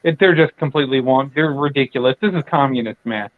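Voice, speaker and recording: A man's voice: mid-range voice, quick pace, dry recording. A man hosting a late-night casual chat, rambling and profane, with lots of fillers and restarts. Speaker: channel host. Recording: tinny dry phone-like audio.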